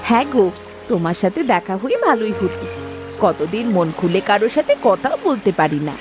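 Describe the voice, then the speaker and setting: Woman playing a lively, questioning village wife. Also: mid-range voice, fairly quick, music in the background, close to an everyday voice. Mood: eager, friendly. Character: questioning, sharp-tongued village housewife with big hopes. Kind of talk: scripted cartoon dialogue in a Bengali folk tale.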